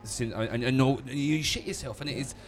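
A man's voice: mid-range voice, brisk. A man hosting a casual podcast, telling stories animatedly and stumbling over his words now and then. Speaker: podcast host and wedding DJ.

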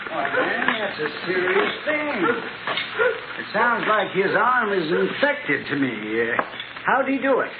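An actor playing an excitable, fussy older town doctor in a 1950s radio western. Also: male voice, high voice, a little slow. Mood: alarmed. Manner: fussy, emphatic, exclaiming. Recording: tinny, very noisy old radio recording.

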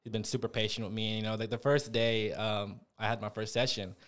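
Young guy from memphis, lowish smooth voice, lots of ums, casual chat. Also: little pitch movement, fairly quick. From Memphis, USA. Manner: chatty, hesitant with many fillers, laughs often. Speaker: gym member, guest. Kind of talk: casual interview.

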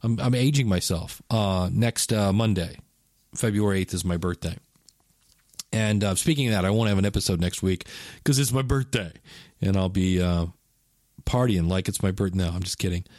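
A man's voice: low-pitched, moderate pace, a little mellow, dry recording, clean studio sound. A man in his fifties hosting a casual solo podcast, talking in a rambling, chatty way and correcting himself as he goes. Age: 50s. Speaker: podcast host.

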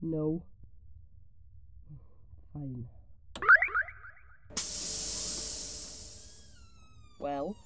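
A woman playing a guarded, brooding alchemist in an audio drama, curt and defensive, choosing her words in a measured way. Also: deep voice, mellow, no echo, highs cut off. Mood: curt, sullen.

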